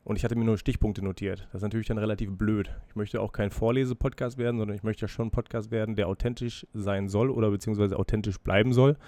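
Mann, erkältet, tiefe Stimme, trockener Raum, Podcast-Monolog. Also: male voice, on the low side, darkish tone, dry recording. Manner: freely spoken, musing, self-reflective with hesitations. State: has a cold, breathing heavily. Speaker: podcast host. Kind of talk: casual solo podcast monologue.

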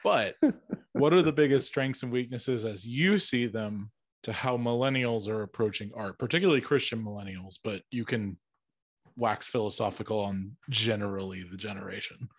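A man's voice: lowish voice, moderate pace. A young adult man working as an interviewer, speaking casually and circling informally toward his point.

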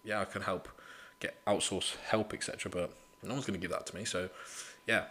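A young man talks straight into the mic, his voice low-pitched but bright and forward. He is blunt and motivational, swears freely, and keeps tossing in "you know" as he goes. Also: steady tone, a little fast. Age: 20s. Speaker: podcast host. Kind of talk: casual solo podcast monologue.

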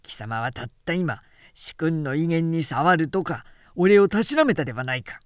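A woman voicing a blunt, hot-tempered male warrior who grumbles in rough speech, in an audiobook novel. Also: low voice, lively intonation, moderate pace, no room sound, studio quality. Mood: impatient urging. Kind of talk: literary audiobook dialogue.

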